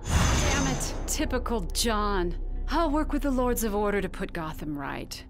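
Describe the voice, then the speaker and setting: An actress playing an earnest, reproachful magician heroine in a video game. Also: female voice, medium pitch, lively intonation, a little slow. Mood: exasperated. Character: earnest, exasperated young female stage magician heroine. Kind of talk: video game cutscene acting. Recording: music behind voice.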